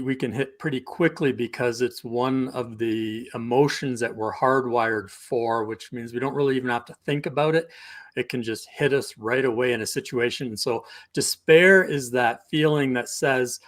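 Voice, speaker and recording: A man's voice: mid-range voice, a bit bright. A middle-aged man speaks in a mid-range voice as a coach on a live video call. His delivery is conversational and explanatory, the way someone walks listeners through examples. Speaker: couples coach. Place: indoor room, video call.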